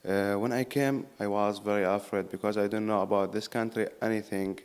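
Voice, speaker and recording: A young man from Syria with a Syrian Arabic accent speaking simple, halting English at a meeting. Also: lowish voice, fairly even intonation, darkish tone, clear, resonant tone. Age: young adult. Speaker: youth program client speaking at a meeting.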